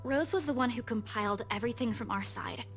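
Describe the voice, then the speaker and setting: Actress as an earnest young woman, higher-pitched. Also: a little fast, soft background music, lightly acted. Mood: guarded, troubled. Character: young woman real-estate agent, earnest, teasingly blunt. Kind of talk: visual novel voice acting.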